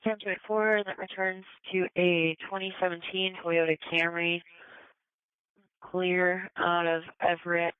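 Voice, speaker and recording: A woman's voice: lowish voice, level tone, measured pace, clean tone, dry recording. An American woman dispatching police units in procedural style over a narrow-band radio. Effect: police radio transmission.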